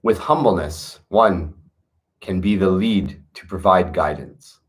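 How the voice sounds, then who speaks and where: A man reading an old wisdom text aloud in a measured, earnest way, with brief casual asides to his listeners. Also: sits low. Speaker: reader of a classic text.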